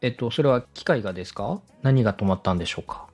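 Male podcast host, husky and very animated in intonation. Polite, encouraging interviewer and adviser. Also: fairly low pitch, fast talker. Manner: courteous, encouraging. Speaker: podcast host, radio director. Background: background music.

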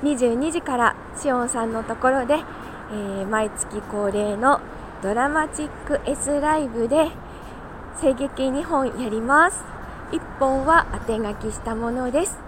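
A woman chatting casually on her own like a morning podcast host, talkative and quick to laugh. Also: high-pitched, background noise. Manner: chatty, laughs easily while talking. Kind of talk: casual solo morning chat.

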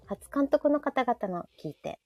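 A woman's voice: on the high side, sing-song, unhurried, muffled recording. A woman with a Kansai Japanese accent hosting a live audio show in casual chat, often chiming in with agreement. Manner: frequent backchannel agreement. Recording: faint background music.

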